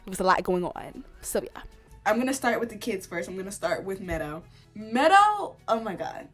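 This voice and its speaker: A young woman talking to camera from her home setup, congested from a cold. She chats casually and opinionatedly, says "like" a lot and breaks into animated outbursts. Her pitch sits in the middle range, and faint music plays behind her. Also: normal speed. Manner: chatty and opinionated, many "like" fillers, animated outbursts. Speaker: vlogger reviewing a TV series. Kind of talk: casual sit-down chat to camera.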